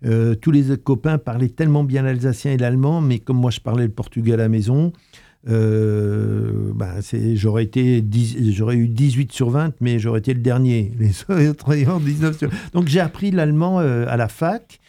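A man's voice: medium pitch, steady tone, moderate pace, vocal fry, mellow. A young man from Alsace, a history student, answering interview questions on the radio in a hesitant way, full of fillers and restarts. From Alsace, France. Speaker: guest, history master's student. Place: radio studio.

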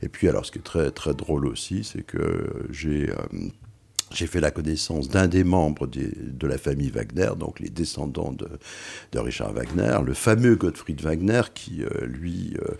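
An older man, an author, speaking as an interview guest, often pausing and repeating words as he searches for them. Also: expressive, darkish tone. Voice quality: very deep gravelly voice, many hesitations and repeated words. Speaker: author and music writer.

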